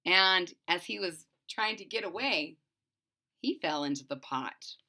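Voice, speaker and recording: A woman storyteller reading a children's picture book aloud expressively, pausing to recap it in simple words. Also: on the low side, lively intonation, pushed and bright.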